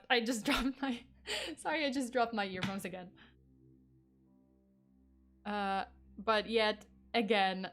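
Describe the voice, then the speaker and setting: A woman's voice: mid-range voice, a bit bright. Polish-accented woman streaming casually. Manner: chatty, laughs often, lots of fillers and swearing. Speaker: vtuber streamer. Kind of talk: casual true crime livestream.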